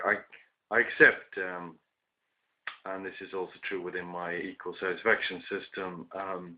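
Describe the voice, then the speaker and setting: A man from the UK giving his opinions in a casual video monologue, hoarse from a chest infection and hesitating often with ums and restarts. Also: low voice, highs cut off. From United Kingdom. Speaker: vlogger.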